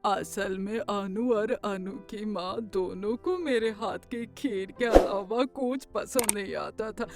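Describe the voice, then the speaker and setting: A woman's voice: medium pitch, slow, hardly any pauses, loud music behind the voice. A woman voicing a hospitable, doting old grandmother in a cartoon story, with an affectionate, reassuring manner. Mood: fond, reminiscing. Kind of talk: Hindi cartoon story voice acting.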